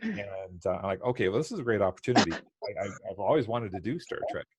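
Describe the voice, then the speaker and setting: A man's voice: lowish voice, lively intonation, fast, a little hiss or hum. A man who works as a volunteer voice actor chatting casually on a live stream, often repeating words as he goes. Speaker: volunteer audio drama voice actor.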